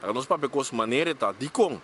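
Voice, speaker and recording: A man's voice: medium pitch, animated, brisk, a bit bright, slight background noise. Earnest man making a statement.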